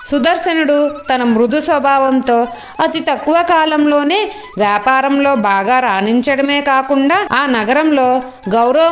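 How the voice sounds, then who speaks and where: High-pitched woman narrating a children's story.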